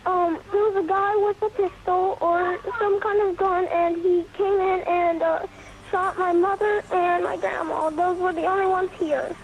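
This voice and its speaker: Tearful high-voiced woman on an emergency call. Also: little pitch movement, unhurried, low-quality recording. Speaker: emergency caller.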